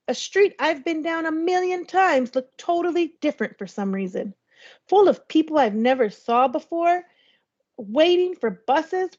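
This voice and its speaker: A woman's voice: high voice, darkish tone, highs cut off. A woman, a teacher, reading a storybook aloud to young listeners. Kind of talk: storybook read-aloud narration.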